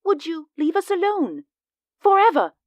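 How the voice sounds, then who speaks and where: An actress in a 1940s radio drama playing a frightened young woman who talks in a tearful, high-strung way. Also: sing-song, unhurried, a little mellow, no room sound, clean studio sound, heavily acted. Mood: tense.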